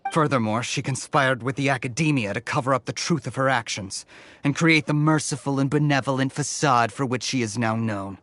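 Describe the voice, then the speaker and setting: A man's voice: medium pitch, a little fast, a bit bright, natural voice. A man voicing a zealous, scornful desert mercenary in an anime game, sneering and preachy, with music behind. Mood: devout, bitter. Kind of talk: English anime game voice acting.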